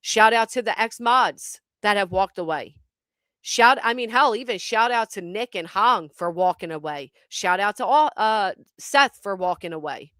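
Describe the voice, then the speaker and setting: A woman's voice: mid-range voice, moderate pace, pushed and bright, no echo. Woman, reaction commentary host, blunt and scornful, dry close sound. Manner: blunt, scornful, swears freely.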